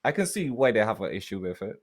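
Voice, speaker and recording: A man, co-hosting a podcast over a video call from home and explaining slowly, repeating words as he thinks. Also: fairly low pitch, very animated, breathy edge. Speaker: podcast co-host. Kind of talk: casual chat.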